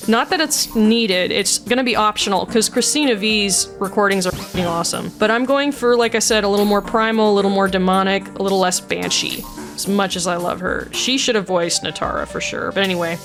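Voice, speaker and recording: A woman's voice: medium pitch, a little fast. A woman chatting casually and self-deprecatingly as she explains her own amateur voice acting. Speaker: video creator and amateur voice actor. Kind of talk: casual behind-the-scenes chat with vocal demonstrations. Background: background music.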